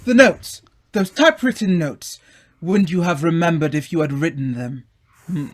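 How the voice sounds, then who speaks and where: A man's voice: on the high side, moderate pace, natural voice. Man as chummy upper-crust gent, bluff, condescending, given to exclamations, radio drama acting. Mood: reassuring, brisk.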